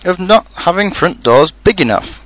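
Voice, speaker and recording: A man's voice: on the high side, big pitch swings, normal speed, fairly bright tone, no echo, voice pushed up. A man voicing a greedy, anxious, muddled toy bear from a storybook, plaintive and earnest. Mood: cross. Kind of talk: storybook character reading.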